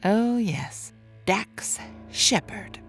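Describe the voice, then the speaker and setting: A woman's voice: mid-range voice, very slow, faint music behind. A woman playing a solemn college professor interviewed as an expert in a mock documentary audio drama.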